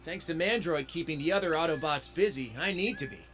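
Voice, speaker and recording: An actor playing a plucky young robot soldier in a video game, with a casual, wry and dutiful manner. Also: male voice, fairly high pitch, brisk, over loud music, natural voice. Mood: determined. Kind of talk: scripted video game cutscene acting.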